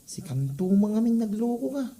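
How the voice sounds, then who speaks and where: A woman's voice: medium pitch, big pitch swings, moderate pace, warm tone. A woman playing a nosy young classmate in a comedy audio drama, needling and admonishing, with a Northern Philippine accent.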